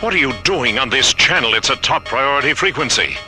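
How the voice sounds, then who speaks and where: A man's voice: medium pitch, lively intonation, fairly quick, sharp tone, soft background music. An actor playing an agitated, embittered male weapons scientist in a science fiction radio drama, urgent and indignant. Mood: agitated, demanding.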